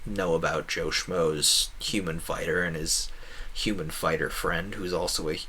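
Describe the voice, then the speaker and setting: A man's voice: low-pitched, steady tone, unhurried, sharp tone, slightly rough, low-quality recording. A man giving casual gameplay commentary as a streamer, thinking aloud in a wordy, meandering way. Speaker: game streamer.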